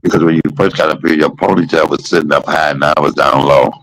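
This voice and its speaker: A man's voice: very deep voice, fairly even intonation, fast, a bit bright, rough recording. A man chatting casually from a hospital room, joking and teasing with bursts of laughter. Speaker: podcast host. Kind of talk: casual chat.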